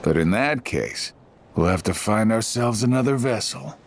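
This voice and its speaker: A man's voice: lowish voice, lively intonation, moderate pace, creaky. A man playing a sly middle-aged villain in a video game, taunting in a casual, mocking drawl. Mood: wry needling. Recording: music behind the voice.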